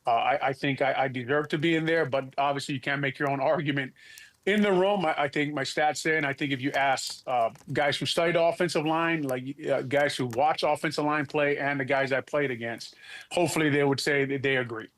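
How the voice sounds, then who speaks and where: A middle-aged man from Hawaii, a former football player, talking in a relaxed, halting way as an interview guest. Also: mid-range voice. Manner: easygoing, halting, many restarts. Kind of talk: casual interview talk.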